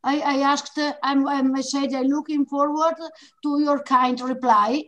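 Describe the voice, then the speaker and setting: A woman's voice: sits high, fairly even intonation, a little slow, a bit bright. A woman in her fifties with an Italian accent, a native Italian speaker chatting in class and searching for her words. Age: 50s. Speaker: teacher attending an online class. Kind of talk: casual chat in a language class. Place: online video call.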